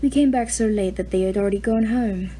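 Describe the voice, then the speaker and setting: A woman voicing a poised woman executive who speaks calmly and softly. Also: medium pitch, fairly quick, a little hiss or hum, natural voice.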